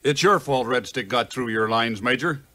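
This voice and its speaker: A man's voice: mid-range voice, normal speed, pushed and bright, close to an everyday voice. A man playing an impatient army general in a 1950s audio adventure, brusque and used to giving orders. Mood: stern, impatient.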